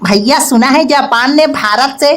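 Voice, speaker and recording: A man's voice: very high voice, measured pace, background noise. A man voicing an opinionated, exasperated male friend in a comedy cartoon, grumbling and ranting in earnest. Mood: earnest, indignant. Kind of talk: Hindi comedy cartoon dialogue.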